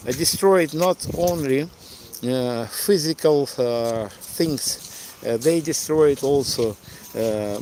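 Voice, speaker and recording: A middle-aged man from eastern Ukraine, a native Russian speaker, talking off the cuff on a livestream in halting, hesitant English with a Ukrainian accent. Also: mid-range voice, darkish tone, a little hiss or hum, low-quality recording. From Donetsk, eastern Ukraine. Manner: halting, hesitant, searching for words. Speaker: live streamer and tour guide. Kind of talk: unscripted livestream monologue answering chat.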